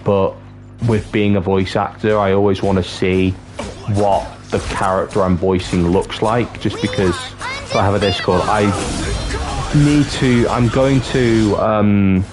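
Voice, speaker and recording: A young man in his twenties from North Wales chats casually with viewers on a livestream, halting and restarting often. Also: lowish voice, fairly even intonation. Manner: chatty, halting, with many restarts. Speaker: streamer. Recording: game music clearly behind the voice. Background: game music.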